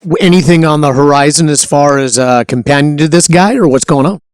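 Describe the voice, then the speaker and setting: A man's voice: fairly high pitch, fairly quick, no echo, clean studio sound. A man hosting a casual interview show, upbeat and hearty, hyping up his guest. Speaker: show host.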